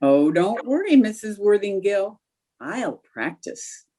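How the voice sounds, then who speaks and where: A vain, scatterbrained housewife, played by a woman, reacts in broad 1940s radio-comedy style. Her voice is husky and mellow, swooping up and down as she plays the eager amateur actress. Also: medium pitch, very animated, takes it slowly. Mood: earnest, hammy. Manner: comic, exaggerated reactions.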